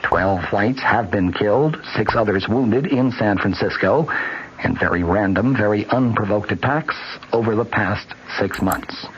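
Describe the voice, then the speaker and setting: A man's voice: fairly low pitch, measured pace. An American man reading scripted news as a radio anchor. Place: radio studio. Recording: noisy dull 1970s radio broadcast.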